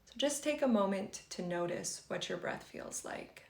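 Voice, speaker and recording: A woman leading a guided meditation for beginners in a gentle, conversational and reassuring way. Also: mid-range voice, animated, moderate pace, gravelly. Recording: roomy, echoing sound.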